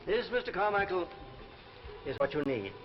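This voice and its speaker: An actor playing a calm, mysterious shopkeeper with formal, polite speech in a 1950s drama. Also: male voice, high-pitched, normal speed. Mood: grave, courteous. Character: calm, courteous, mysterious shopkeeper selling what people need. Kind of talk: 1950s television drama acting. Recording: muffled 1950s broadcast, music behind.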